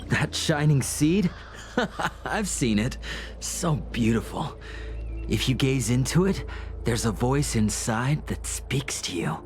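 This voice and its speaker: A man's voice: medium pitch, lively intonation, takes it slowly. An actor playing a fanatical, unhinged cultist in a video game, gloating theatrically and savoring his words. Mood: entranced. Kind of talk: video game character acting. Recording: music behind.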